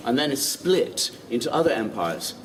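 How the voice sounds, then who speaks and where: A man guiding a walking tour outdoors, lively and quizzing the group with questions. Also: medium pitch, normal speed. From Ireland. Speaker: tour guide. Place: outdoors in old city streets. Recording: distant mic, street noise.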